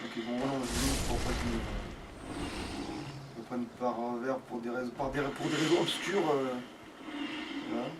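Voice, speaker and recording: A man's voice: medium pitch, steady tone, moderate pace, pauses often. A man doing casual gaming commentary with a friend, grumbling and swearing as he plays. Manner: foul-mouthed, grumbling. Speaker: let's player playing the game. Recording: distant mic, loud background noise.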